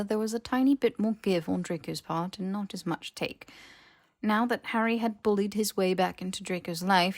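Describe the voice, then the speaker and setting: A woman's voice: mid-range voice, no room sound, clean studio sound. A woman reading scripted fiction as an audiobook narrator.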